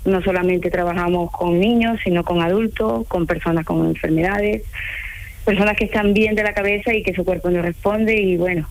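A woman's voice: on the low side, steady tone, normal speed. A woman from Ecuador with a mild Ecuadorian accent, chatting casually as a caller on a radio show. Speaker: radio caller, home care worker. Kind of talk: casual chat. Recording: noisy telephone call to radio.

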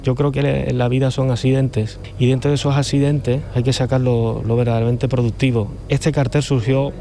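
A middle-aged painter with a Cádiz Andalusian accent, chatting as an interview guest in a loose, rambling way. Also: male voice, mid-range voice, little pitch movement, brisk, mellow. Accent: Cádiz Andalusian Spanish. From Cádiz, Spain. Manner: rambling, loose talker who often circles back. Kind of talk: relaxed conversational interview.

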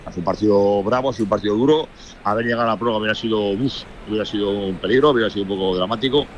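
A man who is a former footballer, talking as a radio pundit in a blunt, colloquial and animated way. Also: lowish voice, rapid-fire, dull audio, a little hiss or hum. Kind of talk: casual sports radio chat.

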